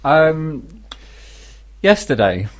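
A man co-hosting a radio show, telling stories casually in a deadpan, teasing way. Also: on the high side, very slow. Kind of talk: casual comic radio chat and anecdote.

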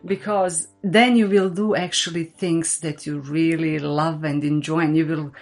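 A woman's voice: low voice, fairly even intonation, unhurried, faint music behind. A middle-aged woman from Bosnia with a Bosnian accent, speaking simple English as a second language and chatting in a friendly way as a podcast guest. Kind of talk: casual conversation.

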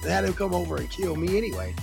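An American man hosting a casual podcast chat, animated and slangy, with a deep voice that jumps in pitch for emphasis. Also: rapid-fire, creaky, over music. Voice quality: deep bassy voice. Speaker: podcast host.

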